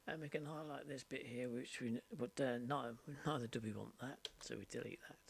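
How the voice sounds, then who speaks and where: A man narrating an informal software tutorial, explaining step by step in short, halting phrases. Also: medium pitch, vocal fry, husky. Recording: steady background noise, mouse clicks. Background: faint noise and clicking.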